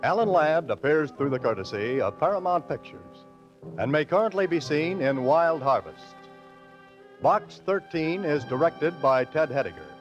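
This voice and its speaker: A man's voice: on the high side, very animated, normal speed. A man announcing a program in dramatic 1940s radio style, on a vintage recording. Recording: dull vintage radio recording, loud music. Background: loud music.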